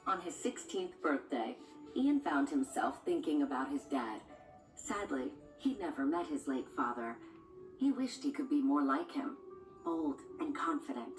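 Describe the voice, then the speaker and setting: A woman's voice: sits low, very animated, a little slow. A woman reading a scripted children's storybook as a read-along narrator, with music behind her. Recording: roomy, distant sound with music underneath.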